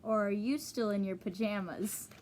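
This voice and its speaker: A woman teacher reading a children's storybook aloud, pausing to explain and ask young listeners questions. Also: mid-range voice, steady tone, a little mellow, slight background noise.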